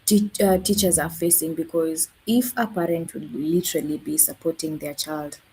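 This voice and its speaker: Mellow low-voiced woman interviewer, faint hiss. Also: fairly even intonation, moderate pace, low-quality recording. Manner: many backchannels, chatty agreeing. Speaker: interviewer, host. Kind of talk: live interview. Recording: faint background noise.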